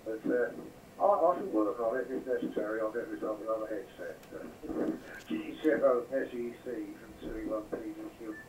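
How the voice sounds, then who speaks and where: A man chatting haltingly over a digital radio link on a cheap headset microphone that pops. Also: lowish voice, darkish tone. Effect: digital radio transmission. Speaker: amateur radio operator. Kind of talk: casual radio chat. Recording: echoey distant radio speaker, popping, noisy.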